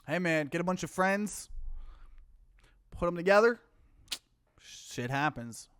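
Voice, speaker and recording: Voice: medium pitch, animated, slow, a bit bright, clear, resonant tone, dry recording, studio quality. A streamer giving casual, reactive game commentary, with some swearing and talk to the viewers. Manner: reactive, profane, addresses viewers. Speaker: let's play streamer. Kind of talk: casual game commentary, reading dialogue aloud.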